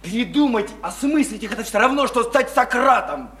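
An actor playing an impassioned idealist in a radio play, preaching reform in halting, repetitive, grandiose speeches. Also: male voice, very high voice, lively intonation, fairly bright tone. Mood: preachy, exalted. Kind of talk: theatrical radio play acting. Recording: noisy, echoey stage-like radio play recording.

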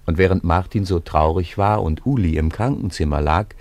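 Low-voiced man narrating a story. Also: fairly quick, a little mellow. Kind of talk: scripted story narration for radio play.

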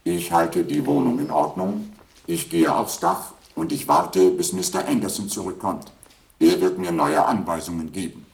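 An actor playing an obsolete household robot in a radio play, polite, formal and literal, a slow-witted servant. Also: male voice, low voice, reverberant, natural voice. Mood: dutiful. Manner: courteous, formal, matter-of-fact. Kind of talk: German radio play acting.

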